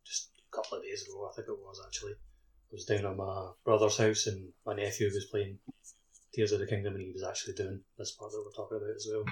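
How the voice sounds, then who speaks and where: A man's voice: lowish voice, little pitch movement, fast, a little hiss or hum, low-quality recording. A man co-hosting a gaming podcast, chatting casually and peppering his talk with filler words. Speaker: podcast co-host.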